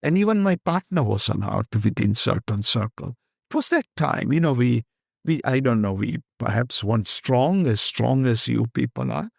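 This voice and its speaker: A man's voice: mid-range voice, animated, moderate pace, gravelly, dry recording, studio quality. An older man with an Indian accent, a guest speaking candidly and rambling in an interview, slipping Hindi phrases into his English. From Kenya.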